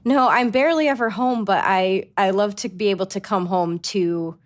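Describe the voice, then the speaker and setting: A woman in her twenties from Rhode Island, answering interview questions in an earnest, talkative way with quick self-corrections. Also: mid-range voice. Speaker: actress and guest.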